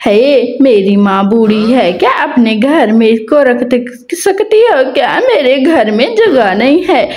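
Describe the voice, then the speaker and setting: Casting: a woman as a scheming cartoon crow, female, wheedling and pushy, pitched high with a very animated melody. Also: takes it slowly.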